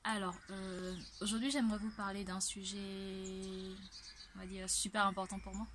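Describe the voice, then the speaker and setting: Even-toned young woman telling a personal testimony. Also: medium pitch, moderate pace, a little hiss or hum. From Ivory Coast. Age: 20s. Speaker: vlogger. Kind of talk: personal testimony, casual talk to camera.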